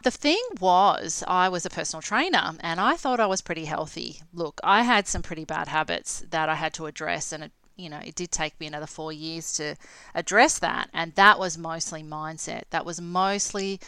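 A woman's voice: sits low, moderate pace, bright, forceful tone, clear, resonant tone, no room sound. A woman in her late forties with an Australian accent, talking casually and candidly as a host and coach sharing her own story. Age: late 40s. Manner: conversational, candid. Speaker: podcast host and health coach. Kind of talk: casual solo talk, personal storytelling.

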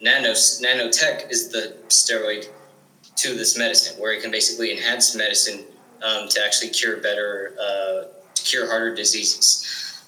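Teen boy explaining stuff fast n eager, lotsa likes and ums, lowish voice. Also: flat delivery, pushed and bright, roomy sound, low-quality recording. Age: teens. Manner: eager, restarts often, many likes and ums. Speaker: guest. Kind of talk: casual interview answers.

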